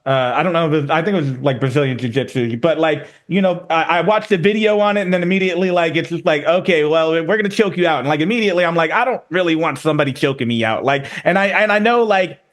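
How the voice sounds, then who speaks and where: A man on a podcast working his way through a question, stopping and restarting often. His voice sits a little high for a man, and he sounds loose and easygoing. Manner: halting, full of restarts and fillers. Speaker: podcast host. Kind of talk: casual interview questions.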